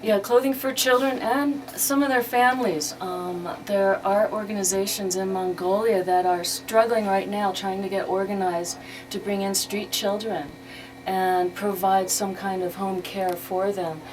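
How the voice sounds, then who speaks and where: A woman's voice: medium pitch, fairly even intonation, measured pace. A woman speaking conversationally as a charity organizer being interviewed as a guest on the radio. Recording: clearly noisy radio interview recording.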